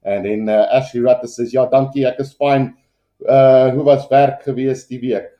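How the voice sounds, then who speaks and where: A man's voice: mid-range voice, fairly even intonation, moderate pace, reverberant, lo-fi sound. A man with a South African Afrikaans accent, a native Afrikaans speaker, giving casual, unscripted live rugby commentary and chatting with viewers in a hesitant, conversational way. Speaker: livestream sports commentator and host.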